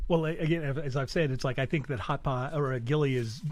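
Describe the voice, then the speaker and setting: A man's voice: mid-range voice, fast, darkish tone, no room sound. A man in a casual podcast chat, talking hesitantly with many fillers and repeated words. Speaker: podcast co-host. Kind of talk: casual chat about TV and betting.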